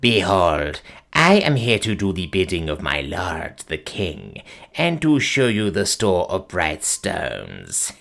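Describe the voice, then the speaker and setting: A man voicing an ancient, wicked witch who speaks slowly in a piping, gloating old-fashioned way, for an audiobook. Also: sits low, wildly swooping pitch, vocal fry, a bit bright, voice pushed down, heavily acted. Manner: piping, gloating, slow archaic speech. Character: ancient wicked female witch, shrivelled and cackling. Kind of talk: audiobook character voice.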